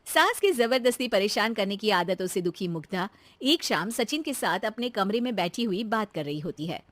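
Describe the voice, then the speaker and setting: A woman's voice: medium pitch, animated, moderate pace, a bit bright, no room sound. A woman reading scripted narration for a cartoon story.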